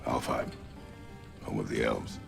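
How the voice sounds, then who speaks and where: An actor playing a stern, guarded old warrior god and father in a video game, speaking tersely and gravely. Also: steady tone, unhurried, fairly bright tone, soft background music, full character voice. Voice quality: gravelly, deep.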